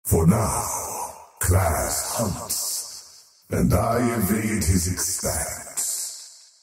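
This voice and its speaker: Deep, echoing arrogant void creature. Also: male voice, lively intonation, far from the mic, over music, heavily acted. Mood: grandiose, ominous. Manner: grandiose, menacing, deliberate theatrical delivery. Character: faceless male void creature, cold arrogant oathbreaking acolyte of time. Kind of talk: scripted fantasy video game character lines.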